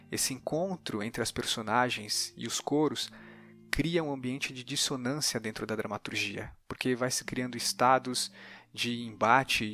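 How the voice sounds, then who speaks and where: A man's voice: mid-range voice, vocal fry, a bit bright. A man with a Brazilian accent hosting an interview podcast, moving between narrated summaries and conversational questions.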